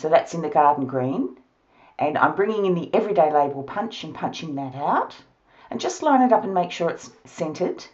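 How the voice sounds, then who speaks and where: A woman with an Australian accent, hoarse from a cold, explaining a card-making project step by step with a chatty, laughing manner. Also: low voice, big pitch swings, moderate pace, darkish tone, highs cut off. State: hoarse, losing her voice. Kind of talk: crafting tutorial narration. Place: home craft studio, at a table.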